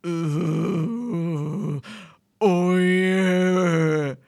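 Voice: medium pitch, very slow, darkish tone, no echo, clean studio sound. A performer voicing a broken talking alarm clock that groans like a ghost in garbled syllables. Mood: eerie groaning. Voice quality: garbled, creaky moaning.

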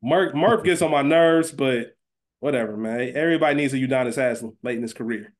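A man's voice: medium pitch, a little fast. Informal male podcast co-host, slangy. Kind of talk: casual chat, fantasy draft banter.

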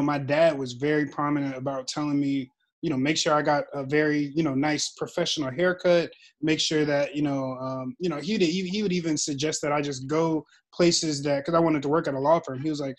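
A young man moderating a casual group discussion, thoughtful and rambling with many fillers. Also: fairly high pitch.

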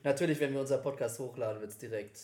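A man's voice: mid-range voice, quick pace. A young man with German as a second language, co-hosting a casual podcast and teasing his friend with jokes. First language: not German. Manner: joking, teasing, lively banter. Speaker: podcast co-host. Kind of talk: casual chat. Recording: echoey room on a cheap mic.

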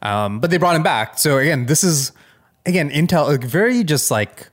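A man co-hosting a podcast in casual chat, talking in halting phrases and often checking in with his listener. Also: a little high, big pitch swings. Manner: halting, conversational, frequent check-in questions. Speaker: podcast co-host.

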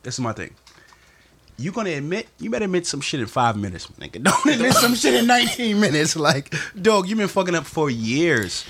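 A man co-hosting a podcast, chatting loudly and joking with hype. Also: a little high, big pitch swings, brisk, a little hiss or hum. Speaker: podcast co-host. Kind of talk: casual chat.

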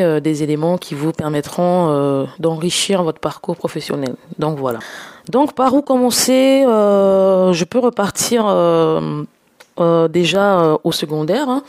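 A woman's voice: fairly low pitch, darkish tone. A young woman from Gabon, a podcast guest, telling her career story in a hesitant way with many fillers and restarts. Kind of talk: casual personal storytelling.

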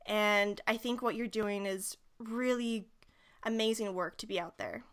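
A woman's voice: mid-range voice, steady tone, measured pace, clear, resonant tone. A woman hosting a podcast, chatting casually and gushing with praise for her guest. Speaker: podcast host. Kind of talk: casual interview chat.

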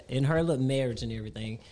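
A man with a high-pitched voice, a guest on a casual podcast, rambling and repeating himself as he tells personal stories. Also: a little fast, a little mellow.

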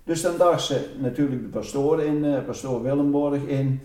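A man with a soft-edged, mellow and slightly high voice recounts stories casually. He is recorded in a roomy space, a little away from the microphone. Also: moderate pace, distant mic. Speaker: interviewee recounting his mother's wartime stories. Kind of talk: casual anecdotal storytelling.

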